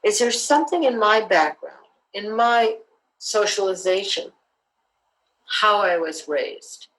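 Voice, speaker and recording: A woman and self-defense teacher, speaking as a guest in an online interview in an earnest, measured, motivational way. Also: mid-range voice, lively intonation, a bit bright, poor audio.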